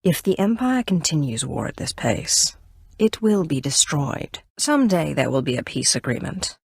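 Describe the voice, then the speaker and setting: Casting: cool-headed female Sith sorceress in a game, imperious yet idealistic; lively intonation, dry close studio sound. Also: deep voice, creaky, husky, no room sound, heavily acted. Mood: earnest, firm. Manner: poised, measured, imperious. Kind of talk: scripted video game dialogue acting.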